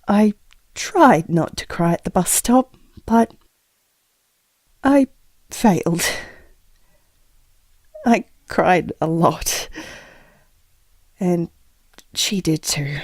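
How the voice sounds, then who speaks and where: An actress playing a sad, gentle woman bravely reassuring a loved one in an audio drama, hushed and halting. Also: lowish voice, no echo, studio quality. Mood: grieving, subdued. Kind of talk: intimate audio drama monologue.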